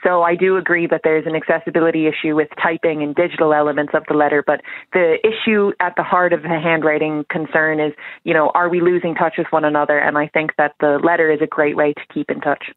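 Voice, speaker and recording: A woman's voice: low voice, steady tone, fast, dry recording. Phone-line audio, woman, radio interview guest, conversational, dry sound. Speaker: guest, letter collector. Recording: thin telephone line.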